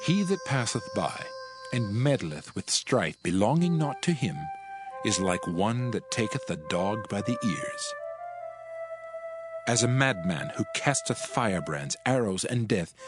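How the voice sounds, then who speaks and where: A man giving a solemn, declamatory, dramatized reading of scripture in measured phrases. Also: mid-range voice. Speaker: audio Bible narrator. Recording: music behind the voice. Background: background music.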